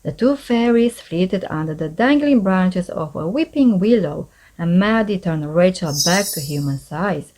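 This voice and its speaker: A woman reading a children's storybook aloud as scripted narration, in an expressive storyteller's manner. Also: lowish voice, unhurried, few pauses, slight background noise, rough recording. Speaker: storybook narrator, channel host.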